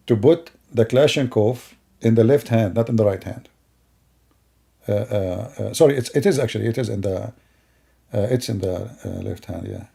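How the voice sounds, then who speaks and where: Gravelly, mocking middle-aged man. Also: fairly low pitch, mellow. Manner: mocking, rambling, with broken grammar. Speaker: commentator. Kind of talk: sarcastic commentary over video clips.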